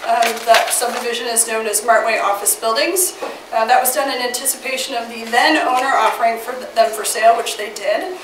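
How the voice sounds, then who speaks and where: Woman, Midwestern American accent, scratchy voice from a cold, city planner briefing council, steady and informative, echoey distant chamber. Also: a little high, brisk. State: getting over a cold, scratchy voice. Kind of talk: staff report presentation. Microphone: far from the mic.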